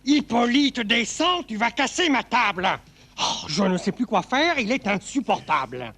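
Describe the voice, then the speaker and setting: A man voicing a fussy, easily exasperated character in a children's show, creaky and husky, given to exclaiming. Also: extremely high pitch, sing-song, measured pace, pushed and bright, heavily acted. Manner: exclamatory, scolding. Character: fussy, easily exasperated puppet cousin, quick to scold.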